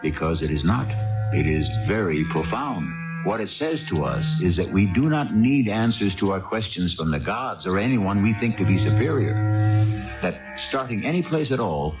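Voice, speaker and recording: A man hosting a 1970s radio mystery show, delivering deliberate, philosophical scripted narration straight to the listener. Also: fairly low pitch, sing-song. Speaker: radio drama host. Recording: muffled 1970s radio broadcast. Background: music.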